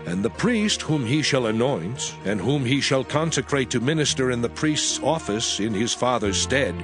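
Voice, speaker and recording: A man narrating scripture in a solemn, expressive scripted reading. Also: mid-range voice, normal speed. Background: music.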